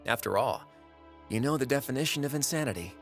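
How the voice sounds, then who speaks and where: Irreverent former god played by a man, mid-pitched, chatty and sardonic storyteller for audio drama, over music. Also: lively intonation, fast talker, natural voice. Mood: wry, defiant. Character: wry, irreverent former god, narrating his own record. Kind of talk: scripted audio drama narration.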